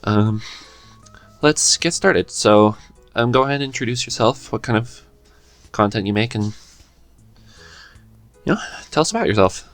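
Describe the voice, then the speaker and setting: A man hosting a podcast, asking a guest questions in a relaxed, curious way. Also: lowish voice, normal speed, pauses often, faint music behind. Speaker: podcast host. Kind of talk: casual interview chat.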